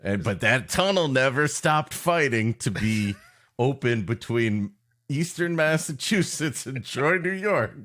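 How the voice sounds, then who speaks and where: A man's voice: medium pitch, expressive, measured pace, a bit bright. A man co-hosting a comedic livestream podcast in casual chat, with a wry, profane, mock-grandiose way of talking. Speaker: podcast co-host.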